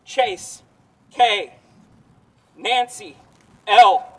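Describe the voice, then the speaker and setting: A man at an outdoor rally slowly reading a list of victims' names in a somber, measured way. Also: high-pitched. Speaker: rally speaker. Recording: voice distant from the mic.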